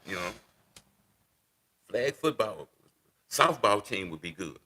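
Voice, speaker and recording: A man's voice: lowish voice, big pitch swings, slow pace, sharp tone, slightly rough. A man in his sixties, a guest in a casual interview, talking unhurriedly and repeating words as he thinks. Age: 60s.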